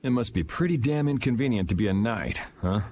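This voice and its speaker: A man's voice: mid-range voice, expressive, fairly quick, a little mellow, a little hiss or hum, close to an everyday voice. An actor playing a sardonic, laid-back young swordsman who talks in a dry way. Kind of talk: video game voice acting.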